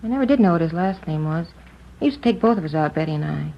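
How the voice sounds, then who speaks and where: A woman's voice: low-pitched, lively intonation, fast talker, creaky, full character voice. An actress playing a talkative woman clerk in a 1950s radio crime drama, speaking to detectives about a murdered friend. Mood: chatty. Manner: talkative, confiding, a little gossipy. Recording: dull old radio broadcast recording.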